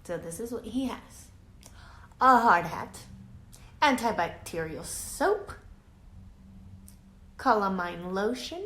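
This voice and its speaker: A woman reading a children's picture book aloud like a teacher, deliberate and emphatic, drawing out words and adding explanatory asides. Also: medium pitch, very animated, pushed and bright. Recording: echoey room, faint background noise.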